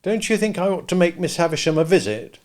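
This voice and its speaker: A man's voice: high-pitched, big pitch swings, quick pace, a little mellow, pitched above the performer's own voice. A man voicing an earnest young apprentice.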